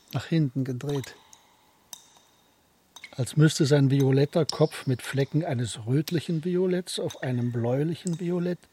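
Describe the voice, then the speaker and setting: An actor playing a haunted, brooding suspect under interrogation, speaking in halting, poetic phrases in a radio play. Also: male voice, mid-range voice, warm tone, slight background noise, close to an everyday voice.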